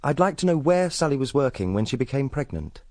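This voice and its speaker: Actor as earnest young doctor: mid-pitched, animated, careful courtesy, radio drama phone call. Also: male voice, fairly quick, no room sound, studio quality, lightly acted. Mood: polite, coaxing. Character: polite, earnest young male doctor making enquiries.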